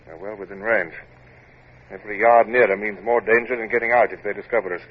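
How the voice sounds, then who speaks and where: Clipped British naval captain, muffled radio. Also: male voice, lowish voice, fairly even intonation, fast, breathy edge. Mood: tense. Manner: clipped, formal, commanding. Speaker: lead actor and first-person narrator. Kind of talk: radio drama acting with narration. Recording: muffled, noisy vintage radio recording.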